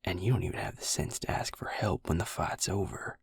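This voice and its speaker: A man playing a protective, exasperated vampire in an intimate audio roleplay, speaking slowly and wryly in a low, breathy near-whisper. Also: no room sound, studio quality, full character voice. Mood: exasperated, scolding. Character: protective, exasperated male vampire tending a wounded werewolf. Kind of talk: intimate audio roleplay acting, addressed to the listener.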